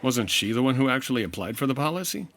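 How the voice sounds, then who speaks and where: A man's voice: medium pitch, big pitch swings, fast talker, a bit bright. A man playing a patient, wry 1950s insurance investigator in old-time radio detective style, dry and understated. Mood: wry, probing. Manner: dry, understated, world-weary.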